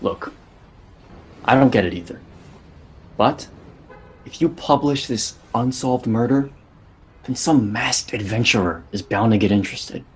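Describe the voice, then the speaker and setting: Man as a husky, jaded police detective. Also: mid-range voice, a little slow, long pauses. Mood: evasive. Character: jaded, evasive police detective, weary and irritable. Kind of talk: dramatic dialogue, fan-made play.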